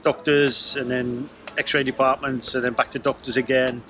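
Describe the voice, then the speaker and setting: A man in his fifties vlogging to his phone on an outdoor hospital terrace, matter-of-fact and understated. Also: medium pitch. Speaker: sailing vlogger. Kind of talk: casual vlog to camera. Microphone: phone camera at arm's length. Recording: loud music bed behind the voice.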